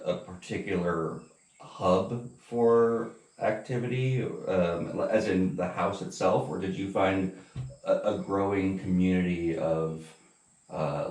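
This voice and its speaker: A man interviewing someone casually, asking measured questions and offering brief encouraging responses. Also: low voice, a little mellow. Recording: roomy echo, distant from mic.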